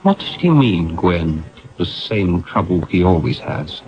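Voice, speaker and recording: Courteous, inquisitive guest, low lively voice. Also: male voice, a little slow, exaggerated voice. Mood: curious, concerned. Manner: polite, inquisitive, earnest. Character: courteous, curious male interviewer, sympathetic houseguest. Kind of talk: 1970s radio drama acting. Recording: dull lo-fi 1970s radio broadcast.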